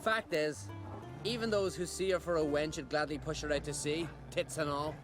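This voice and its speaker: A man playing a rough, scornful island seafarer and scavenger in a fantasy game, talking in a blunt, salty, folksy way. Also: a little high, steady tone, normal speed, music in the background, natural voice. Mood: scornful, gossiping. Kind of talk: cinematic game dialogue.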